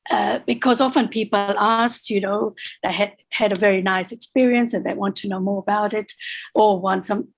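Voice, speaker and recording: A woman in her sixties, from Fiji, speaks over a video call from her home. Her voice has a gravelly, creaky edge at a middle pitch. She talks thoughtfully, with frequent ums and restarts. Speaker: guest, author. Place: home room with bookshelf. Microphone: video call webcam.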